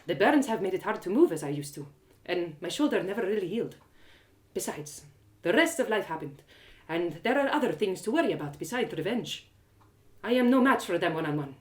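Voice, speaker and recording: A frank, worldly ex-thief woman from a fantasy audio drama, acted out by a woman reader. She talks in a knowing, probing way, with pitch that swings freely around a medium register. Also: sing-song, fairly quick, natural voice. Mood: rueful. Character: frank, inquisitive former woman thief, hardened village storyteller.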